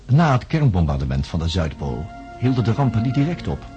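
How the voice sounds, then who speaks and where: An actor playing a reflective man who studies the human mind, narrating a radio play in a solemn, contemplative way with dramatic pauses. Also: on the low side, sing-song, dull audio, over music. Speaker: narrator recounting events.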